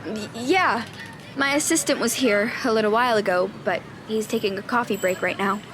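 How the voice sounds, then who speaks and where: A woman's voice: fairly high pitch, normal speed, noisy background, close to an everyday voice. A woman playing a stubborn, self-important teenage girl who investigates the paranormal in an audio drama. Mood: nervous, proud. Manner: self-important, expressive, exasperated.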